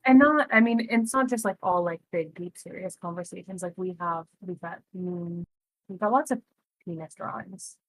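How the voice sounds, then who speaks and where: A woman's voice: fairly low pitch, normal speed, low-quality recording. A woman in her early thirties co-hosting a podcast, talking earnestly and reflectively in casual chat. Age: 30s. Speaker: podcast co-host.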